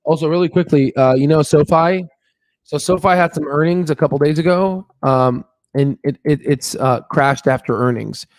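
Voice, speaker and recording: Casual guy hosting a livestream, kinda halting, thinking out loud, close dry sound. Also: a little high, no room sound. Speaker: live stream host. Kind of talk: casual live stream commentary.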